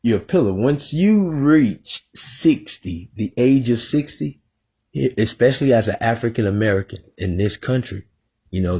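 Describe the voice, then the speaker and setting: A man in his thirties with a very deep, husky voice. He hosts a live podcast interview, animated and laughing often. His tone stays mellow and dark. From Plainview, Texas. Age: 30s. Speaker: podcast host.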